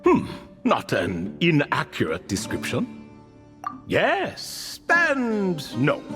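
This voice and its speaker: A man's voice: a little high, huge pitch swings, drawn-out words, a bit bright, soft background music, heavily acted. A man voicing an ancient, talkative ibis king spirit in a cat's body for a video game, theatrical, courtly and nostalgic in his storytelling. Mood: amused, grand. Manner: theatrical, courtly, long-winded storytelling with rhetorical tag questions. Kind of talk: video game character dialogue.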